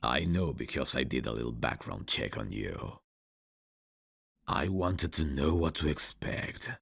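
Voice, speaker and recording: Very deep, gravelly vampire count. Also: male voice, expressive, fairly bright tone, dry recording, clean studio sound, full character voice. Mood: intimate, intrigued. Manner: slow, courtly, old-fashioned, intimate phrasing. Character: suave male vampire count, courtly, seductive, quietly menacing. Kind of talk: ASMR roleplay acting. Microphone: close dry studio mic.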